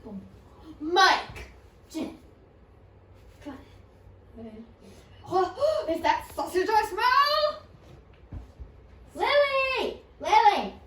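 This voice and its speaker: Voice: very high voice, big pitch swings, very slow, long pauses, pushed and bright, heavily acted. A child voicing a busy, exasperated puppet parent in an improvised home puppet show. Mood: exasperated, calling out. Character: puppet parents Jen and Mike, busy bickering household. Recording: roomy, distant home recording with noise.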